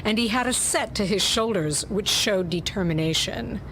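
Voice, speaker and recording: A woman's voice: mid-range voice, normal speed, a bit bright, slight background noise, poor audio. A woman speaking in a radio studio as a theater presenter and story narrator.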